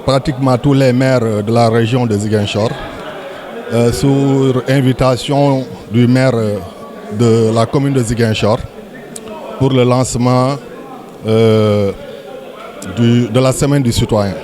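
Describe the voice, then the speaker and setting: Measured man with a Senegalese French accent. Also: medium pitch, fairly even intonation, a crowd in the background. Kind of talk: interview statement, semi-formal.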